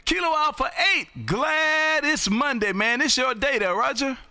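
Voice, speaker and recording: A man in his fifties from Texas, hosting a live amateur radio stream from his home radio room and calling out for contacts in a loud, drawn-out sing-song. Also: very high voice, animated, dry recording, studio quality. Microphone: studio boom mic, headphones.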